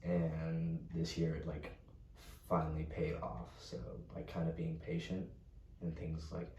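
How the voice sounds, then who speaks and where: A twenty-year-old man answering interview questions in a soft, easygoing way, leaning on "like". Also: extremely low pitch, flat delivery, a little slow, highs cut off. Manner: soft-spoken, fills with "like". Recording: roomy, distant mic, faint noise.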